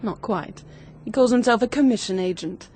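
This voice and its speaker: High-voiced, brisk sleuth. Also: female voice, darkish tone, breathy edge. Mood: knowing, eager. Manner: brisk, knowing, assertive. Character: brisk, resourceful young woman amateur sleuth, confident and bossy. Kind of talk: 1940s-style British radio drama acting.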